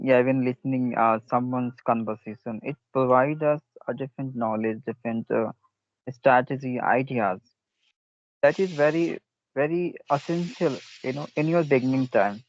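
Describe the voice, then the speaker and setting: A man's voice: medium pitch, moderate pace. Man coaching English over a call. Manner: advising, in short phrases with many "you know" fillers. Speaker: English speaking coach and host. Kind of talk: conversation practice call. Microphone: online call. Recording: dull lo-fi dry call audio.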